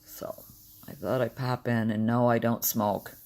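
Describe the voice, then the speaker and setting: An older woman with a deep, gravelly, smoker-like morning voice, tired and short on sleep, talking candidly in a rambling personal video diary. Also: flat delivery, a little slow, a little mellow. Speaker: vlogger.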